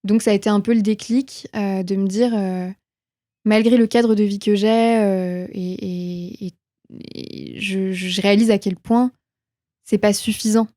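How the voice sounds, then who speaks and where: A young woman speaks with a mellow, soft-edged tone in a very dry, close acoustic. She is an interview guest chatting informally and reflectively about her own life, reworking her phrases as she thinks them through. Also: mid-range voice, steady tone, measured pace, no room sound. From France. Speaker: interview guest, film production manager. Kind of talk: casual conversational interview, personal anecdotes.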